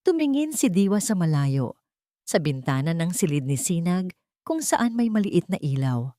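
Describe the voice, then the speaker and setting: A woman narrates a scripted audio drama as its storyteller. Her voice is notably low in pitch. The recording is very dry, with hardly any room sound. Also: very animated, normal speed, dark tone, dry recording.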